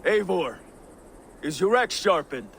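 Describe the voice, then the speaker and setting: Casting: vengeful Viking war leader for a game, declamatory and commanding, slow, with a heavy creak. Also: male voice, high-pitched, big pitch swings, a bit bright, a little hiss or hum, heavily acted. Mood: rousing.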